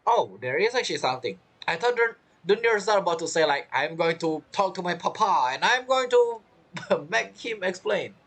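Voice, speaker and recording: A man's voice: sits high, expressive, normal speed, a bit bright. A man giving casual, reactive commentary as he plays a game, chatty, with non-native phrasing. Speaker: streamer playing a game. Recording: game music behind the voice. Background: game music.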